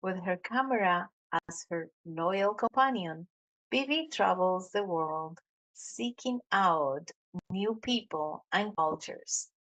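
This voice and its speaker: Woman, Colombian Spanish accent, interview host, slow, lower voice, hesitant. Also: animated, rough recording. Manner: warm, halting with many "um"s. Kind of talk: interview hosting.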